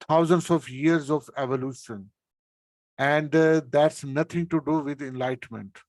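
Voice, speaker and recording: Slow-talking man teaching with repeated "okay?". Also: fairly high pitch, darkish tone, dry recording. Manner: checks in with listeners by ending points with "okay?"; unhurried, explaining step by step with many pauses. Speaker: spiritual life coach. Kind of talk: coaching session lecture.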